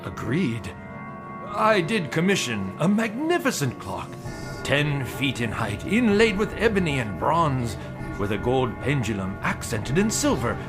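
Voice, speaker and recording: An actor playing an old, wary courtier in an audio drama, speaking in a formal, ominous manner. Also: male voice, mid-range voice, sing-song, music in the background. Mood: alarmed. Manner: formal, ominous, deliberate wording. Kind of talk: theatrical audio drama acting with narration.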